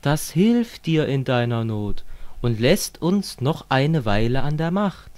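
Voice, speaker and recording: A man's voice: mid-range voice, big pitch swings, slow pace, a little mellow. A man playing a fervent communist who lectures a friend in a didactic, declamatory way, as in an amateur radio play. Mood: fervent, sardonic.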